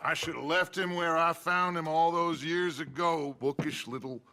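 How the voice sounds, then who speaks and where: An actor playing a silver-tongued, commanding outlaw leader with an American accent and grandiose, measured oratory in a video game. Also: male voice, fairly high pitch, steady tone, pushed and bright. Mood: commanding, wry. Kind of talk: video game cutscene acting. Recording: music behind the dialogue.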